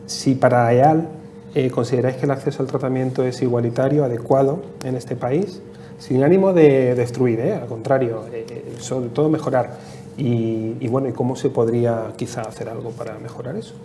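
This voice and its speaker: A man's voice: medium pitch, steady tone, normal speed, mellow, a little hiss or hum. A man moderating an online panel, asking questions hesitantly with many fillers. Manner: hesitant, fills with "eh". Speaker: interviewer, moderator.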